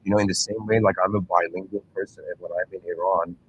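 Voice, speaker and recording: A man in his thirties giving a reflective, rambling philosophical monologue, full of fillers and restarts, often clearing his throat and speaking straight to his listeners. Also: low voice, fairly even intonation, quick pace, a little hiss or hum, poor audio. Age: 30s. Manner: earnest, addresses listeners directly. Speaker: channel host.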